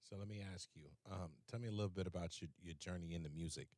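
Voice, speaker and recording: Casting note: male interview host, deep voice, dry close mic, loose rambling questions. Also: fast, darkish tone, dry recording. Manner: asking rambling, repeated questions with fillers.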